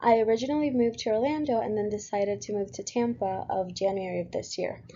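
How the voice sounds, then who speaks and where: A woman's voice: medium pitch, brisk, lo-fi sound, slight background noise. Young woman from Puerto Rico reading a presentation. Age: young adult. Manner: steady, earnest delivery with occasional fillers. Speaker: student presenter.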